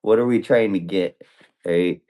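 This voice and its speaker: A man chatting casually and dictating a story prompt, halting as he thinks aloud. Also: low voice, warm tone.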